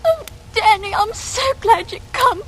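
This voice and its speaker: A very high, swooping voice: a young English working-class maid, gossipy and clinging. She pleads breathlessly in old-time radio drama style, on a noisy vintage recording. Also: expressive, unhurried. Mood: tearful, pleading. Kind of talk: 1940s radio acting.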